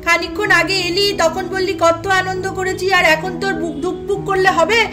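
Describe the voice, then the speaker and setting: Woman as an extremely high-pitched, insistent little girl. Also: little pitch movement, brisk, over music. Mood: indignant, insistent. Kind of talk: amateur doll-story voice acting.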